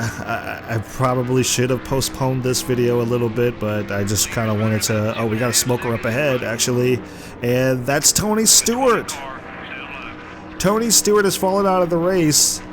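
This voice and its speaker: A man with a sore, congested throat from flu, giving play-by-play commentary over his own racing game. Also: medium pitch. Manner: play-by-play, excited when the car slides. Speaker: gameplay commentator. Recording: game car noise behind voice. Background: racing game engine noise.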